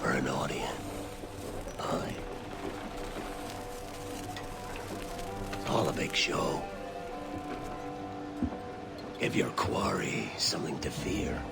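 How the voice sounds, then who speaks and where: An actor playing a brooding, menacing pirate captain in a video game, speaking theatrically in a gravelly growl. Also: male voice, extremely low pitch, animated, loud music behind the voice, heavily acted. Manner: slow, theatrical, dropping to whispers and rising to roars.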